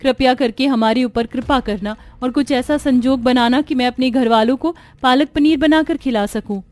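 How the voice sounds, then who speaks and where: A woman's voice: high-pitched, moderate pace, darkish tone, no echo, pitched above the performer's own voice, close to an everyday voice. A woman playing a tender, worried young mother and wife, speaking earnestly in a cartoon family drama. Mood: pleading, worried.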